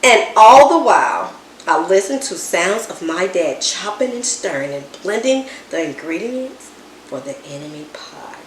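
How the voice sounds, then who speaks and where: A woman reading a children's story aloud in an expressive, dramatic way, with emphatic words and hushed asides. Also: on the low side, unhurried. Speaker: storybook reader. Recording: roomy echo, distant mic, background noise.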